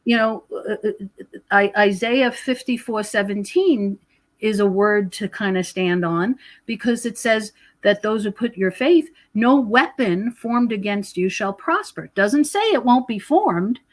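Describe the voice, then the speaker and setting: A mature woman talks as a guest in a conversational interview. She explains matters of faith earnestly, with a mid-pitched voice. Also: lively intonation, a little slow. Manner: earnest, explanatory, quotes scripture. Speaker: podcast guest, author and researcher. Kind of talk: conversational spiritual teaching.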